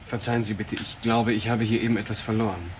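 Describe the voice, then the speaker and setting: Young male ex-convict, played by an actor, radio crime drama: lowish voice, earnest, halting, slight noise. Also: steady tone, natural voice. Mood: earnest, defensive. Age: young adult. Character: earnest, defensive young ex-convict recounting his story. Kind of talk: naturalistic German crime radio drama acting.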